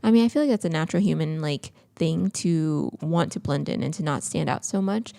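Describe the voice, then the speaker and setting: Chatty young woman with a gravelly voice. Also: low voice, fairly quick, warm tone, no room sound, studio quality. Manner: chatty, lots of filler words. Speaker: podcast co-host. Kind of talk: casual chat.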